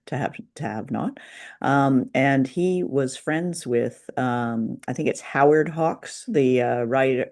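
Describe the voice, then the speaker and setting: A woman co-hosting an unscripted discussion, explaining things informally in a tentative way with frequent pauses. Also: very deep voice, gravelly, darkish tone. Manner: tentative, pausing explanatory delivery. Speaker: podcast co-host. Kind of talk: unscripted discussion of old-time radio drama.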